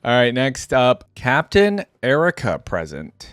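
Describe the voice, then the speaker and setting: A man's voice: mid-range voice, huge pitch swings, slow, a bit bright, no echo, studio quality. A man hosting a casual reaction video, thinking aloud as he comments.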